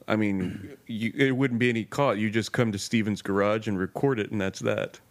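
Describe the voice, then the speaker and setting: A man's voice: on the low side, moderate pace. A man with a nasal voice hosting a casual podcast chat, joking and pushing his friends along. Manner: animated, joking, pushy. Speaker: podcast host. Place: home garage.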